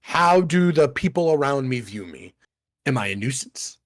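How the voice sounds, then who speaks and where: A man's voice: medium pitch, animated, moderate pace. A man in his thirties co-hosting a podcast in casual chat, rambling and profane, often laughing at himself. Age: 30s. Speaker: podcast co-host.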